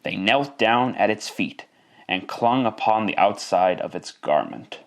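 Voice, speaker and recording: Low-pitched male radio-drama narrator. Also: expressive. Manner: theatrical, deliberate storytelling. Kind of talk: scripted dramatic story narration, classic radio.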